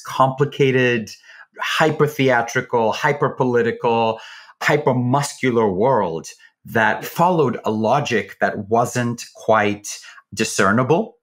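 A man's voice: mid-range voice, takes it slowly, fairly bright tone. A man giving enthusiastic interview commentary as a theatre director.

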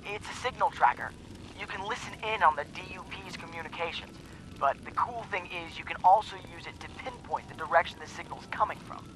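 Actor as bright-voiced nervous young American geek. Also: male voice, fairly high pitch, a little fast, slight background noise, close to an everyday voice, rough recording. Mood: eager, informative. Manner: hesitant, awkward. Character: nervous, nerdy young tech-savvy sidekick. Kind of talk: video game voice acting.

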